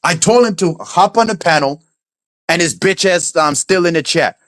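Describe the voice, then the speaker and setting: A man's voice: on the high side, animated, moderate pace, fairly bright tone, no echo. A man with a Crucian accent from St. Croix, ranting heatedly on a livestream, profane and taunting. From St. Croix, US Virgin Islands. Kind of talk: angry livestream rant. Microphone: streaming setup.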